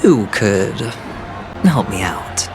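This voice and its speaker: A man's voice: lowish voice, big pitch swings, extremely slow, exaggerated voice. A man playing a flamboyant young gambler in a video game, sly and smug, with teasing, theatrical delivery. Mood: charming, sly. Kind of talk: scripted video game voice acting. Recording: background music under the voice.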